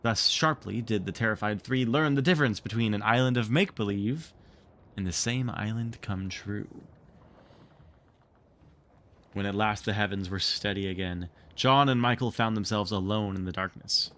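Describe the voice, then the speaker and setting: A man narrating a storybook read-along with expressive, theatrical storytelling. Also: on the low side, normal speed. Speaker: streamer reading a book aloud.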